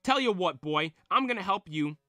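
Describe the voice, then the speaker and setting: A man's voice: sits high, lively intonation, moderate pace, pushed and bright, dry recording, studio quality, faint music behind, pitched above the performer's own voice. A man voicing a brash, folksy young man who offers help.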